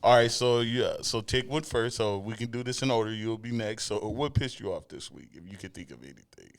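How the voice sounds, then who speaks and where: A man's voice: fairly low pitch, fairly quick, dry recording. A man hosting a casual chat interview in a laid-back, slangy way with some easy swearing. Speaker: interview show host.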